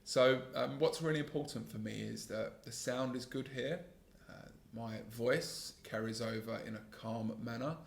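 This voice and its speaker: A man in his thirties hosting a solo podcast, talking in a calm, earnest, self-critical way about his work as a sales trainer, with a gravelly voice. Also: mid-range voice, normal speed, roomy sound. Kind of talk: solo reflective podcast monologue.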